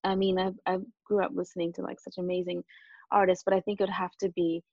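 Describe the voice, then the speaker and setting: A woman from Japan and Australia, a native Japanese speaker, talking earnestly and reflectively as an interview guest, in a calm, hesitant way. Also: on the low side, quick pace, creaky, no room sound, muffled recording.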